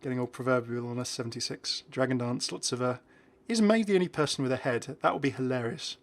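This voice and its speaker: A man reviews a video game in a casual running commentary. He sounds enthusiastic and speaks off the cuff, with a mid-pitched voice. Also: fast talker.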